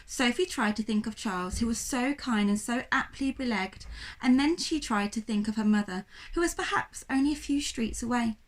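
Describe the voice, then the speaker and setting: A woman reading a children's story aloud like a primary school teacher, with expressive, dramatic narration. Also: on the high side, moderate pace.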